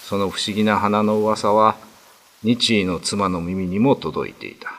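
A man's voice: fairly low pitch, a little slow, a bit bright. A man narrating a classic ghost story as a dramatic scripted reading.

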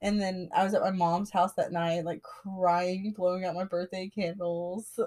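A woman's voice: on the low side, flat delivery, a little mellow. Teasing woman in her late twenties. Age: 20s. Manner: teasing, quick reactions, self-deprecating. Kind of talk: casual chat.

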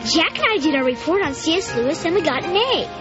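A woman doing a high, shy schoolboy. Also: sing-song, fast, over music. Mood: cheerful. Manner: hesitant, stammering. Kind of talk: radio drama acting. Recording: slightly dull radio drama recording.

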